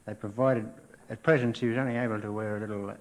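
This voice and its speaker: A man's voice: on the low side, steady tone, quick pace. Hesitant Australian man, a polite radio contestant. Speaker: quiz show contestant. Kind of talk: answering interview questions on radio. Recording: worn old radio transcription disc.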